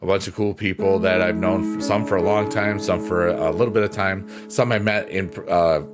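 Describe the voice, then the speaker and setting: A man with a deep voice hosting a casual livestream, chatting in a rambling, unhurried way with plenty of fillers and reading viewer comments aloud. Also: fairly even intonation, over music. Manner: rambling, unhurried, many fillers like "you know" and "um". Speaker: livestream host.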